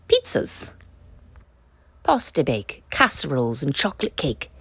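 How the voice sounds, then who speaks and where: Husky, animated woman storyteller. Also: mid-range voice, dry recording. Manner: expressive, emphatic storytelling. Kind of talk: children's picture book read-aloud.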